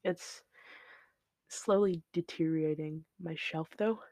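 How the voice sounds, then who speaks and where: A podcast host talking in an unscripted solo chat, with a flat, monotone delivery, rambling from one tangent to the next with lots of fillers, into a shelf-mounted mic. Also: mid-range voice, takes it slowly, dry recording. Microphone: shelf-mounted studio mic.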